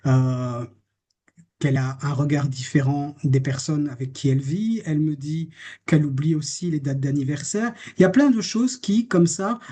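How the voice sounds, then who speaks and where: A man's voice: on the high side, warm tone. A man, a therapist, giving a reflective public lecture on a case, posing rhetorical questions as he goes. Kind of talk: lecture presenting a case study.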